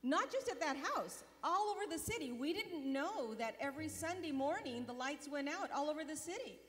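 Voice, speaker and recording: A middle-aged woman on a convention stage telling a mission testimony into a handheld mic, animated and gesturing. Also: high voice, fairly quick. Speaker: mission volunteer and board member. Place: large convention hall stage. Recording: long hall reverb.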